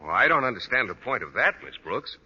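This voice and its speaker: A man's voice: mid-range voice, sing-song, fast talker, lightly acted. An actor playing a shy, naive, earnest male teacher in a 1940s radio sitcom, polite and hesitant. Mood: mild, puzzled. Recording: dull, lightly noisy 1940s radio recording.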